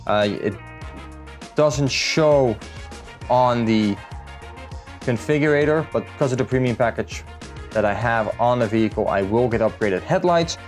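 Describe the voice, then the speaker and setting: A man's voice: medium pitch, a little slow, long pauses, faint music behind. A man from the Netherlands, talking through a car build in a casual, matter-of-fact vlog style as a salesman would.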